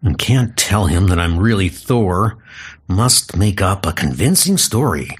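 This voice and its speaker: A man's voice: low voice, big pitch swings, unhurried, breathy edge, heavily acted. A man playing a frail, lame doctor in a comic audio drama, earnest and self-doubting.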